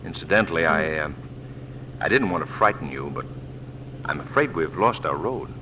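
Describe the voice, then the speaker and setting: An actor playing an urbane, unflappable gentleman adventurer, wry and lightly formal in 1940s radio style, on a noisy old recording. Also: rumbling low, lively intonation, normal speed.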